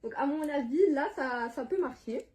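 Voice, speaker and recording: A teenage girl with a rather high voice talks to her viewers in loose, slangy chat. She is exclamatory and quick to get exasperated. The home-made recording carries a faint noise behind her. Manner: exclamatory, swearing, easily exasperated. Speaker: video host making prank calls. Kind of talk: casual slangy vlog chat.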